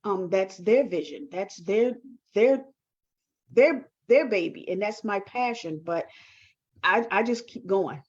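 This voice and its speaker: A woman's voice: mid-range voice, slow. Earnest woman, slightly dull recording. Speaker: talk show guest, performing artist. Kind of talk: reflective interview answers.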